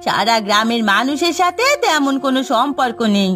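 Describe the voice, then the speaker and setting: A woman's voice: sits high, animated, a little fast, fairly bright tone, soft background music. A woman playing a middle-aged village wife and mother in a cartoon drama, plaintive and scolding in the way she talks. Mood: reproachful.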